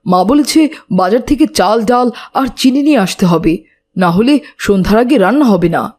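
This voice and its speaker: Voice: medium pitch, expressive, moderate pace, a little mellow, natural voice. A woman voicing a polite, dutiful, earnest schoolboy in a children's cartoon.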